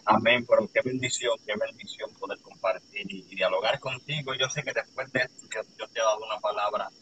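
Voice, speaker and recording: A man's voice: fairly low pitch, fairly even intonation, fairly quick, highs cut off, background noise. A man hosting a church live stream, blessing viewers by name and introducing a young preacher. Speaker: host of an online live interview.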